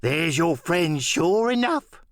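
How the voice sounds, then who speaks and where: A man voicing a wily, anxious, half-crazed old marooned pirate with drawn-out, rambling sailor talk, in an audiobook play. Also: a little high, sing-song, a bit bright, dry recording, studio quality, voice pushed up, full character voice. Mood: excited, confiding. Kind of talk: audiobook radio play acting.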